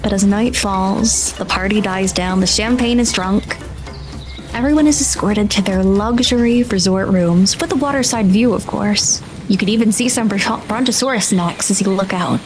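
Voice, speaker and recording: A woman's voice: medium pitch, moderate pace, over music. A woman working as game master, narrating atmospheric tabletop scenes in a dramatic storytelling manner.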